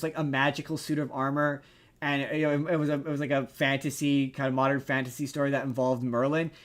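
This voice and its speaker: Male podcast co-host, slightly high voice; rambling, emphatic, excitable pop-culture rants, stumbles over words, swears freely. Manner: casual swearing. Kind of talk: casual pop-culture chat and ranting.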